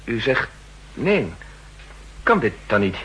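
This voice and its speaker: An actor playing a worried, earnest official seeking help, speaking formally and tensely in a 1960s radio drama. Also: male voice, medium pitch, very animated, takes it slowly, a bit bright, breathy edge, exaggerated voice. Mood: dismayed. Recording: noisy, humming old radio recording.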